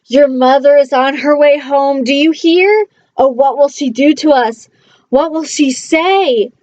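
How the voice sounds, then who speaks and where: A woman's voice: sits high, unhurried, darkish tone, no room sound, dull audio. A woman voicing a fussy, anxious pet fish in a children's storybook, scolding in a fretful, emphatic way. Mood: alarmed, urgent.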